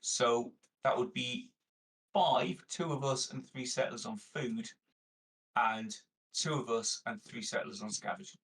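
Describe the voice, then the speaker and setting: A man with a husky edge to his voice plans aloud as a player in a tabletop game. His talk is casual and conversational, picked up from slightly off the microphone. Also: mid-range voice, a little slow, fairly bright tone, recorded from a distance, low-quality recording. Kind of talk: casual group game chat, planning aloud.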